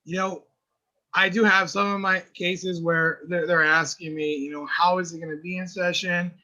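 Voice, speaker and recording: A man with a raspy voice who works as an early intervention teacher, talking earnestly in a casual podcast chat and often repeating words as he finds his point. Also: sits high, little pitch movement, normal speed, bright, forceful tone, lo-fi sound.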